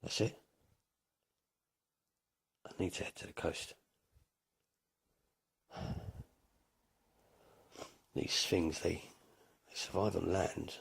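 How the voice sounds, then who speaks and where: Very deep gravelly male survivor, acted by a man. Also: fairly even intonation, very slow, long pauses, exaggerated voice. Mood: brooding, resolute. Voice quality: very gravelly, husky. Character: weary male apocalypse survivor plotting an escape. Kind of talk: dramatic first-person audio drama narration.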